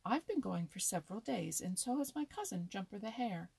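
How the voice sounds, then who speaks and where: A woman voicing a dignified, earnest male rabbit in a storybook, quick to retort. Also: medium pitch, animated, vocal fry, a little mellow, slightly rough, voice pushed up.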